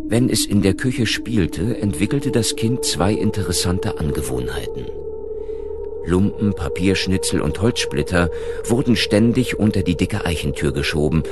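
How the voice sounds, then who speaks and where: A man narrating a scripted horror audiobook in a measured, articulate storytelling manner. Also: low voice, lively intonation. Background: background music.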